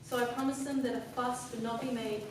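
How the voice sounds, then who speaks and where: A coldly self-possessed, cunning woman played by a young student actress delivers a stage monologue in a highish voice. Her delivery is formal, deliberate and dramatic. It is captured from a distance in a very echoey room with clear background noise. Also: steady tone, darkish tone, natural voice. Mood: cool, confiding. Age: young adult. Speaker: student actor. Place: classroom performance in front of class.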